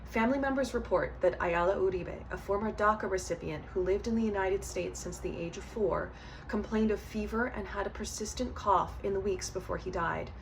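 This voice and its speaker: A woman's voice: mid-range voice, brisk, creaky. A woman giving a formal scripted memorial reading. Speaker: reader in an online remembrance video. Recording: distant mic, background ambient noise.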